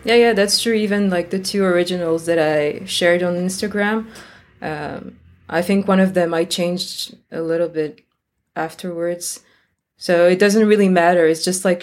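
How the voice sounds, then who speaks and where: A woman guest musician answering interview questions tentatively, often trailing off with uncertainty. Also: on the low side.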